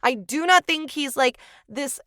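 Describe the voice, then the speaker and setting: A young woman co-hosting a podcast, chatting casually and animatedly, acting out quotes in quick asides. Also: high voice, bright, forceful tone, dry recording, clean studio sound. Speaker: podcast co-host. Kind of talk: casual chat.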